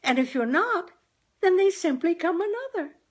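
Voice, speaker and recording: A gentle, wheedling mother from a storybook, acted by a woman reader, pitched high and lilting. The talk is chatty, folksy and persistently coaxing. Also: animated, moderate pace. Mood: eager, persuasive.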